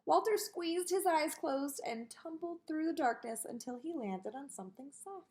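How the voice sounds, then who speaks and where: A woman reading a children's picture book aloud in an expressive way, with sing-song delivery on repeated lists. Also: high-pitched, normal speed. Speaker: storybook reader.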